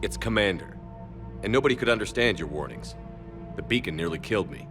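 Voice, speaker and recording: Male actor as resolute commander, deep voice, firm, measured, plain-spoken, sci-fi game. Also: expressive, over music, natural voice.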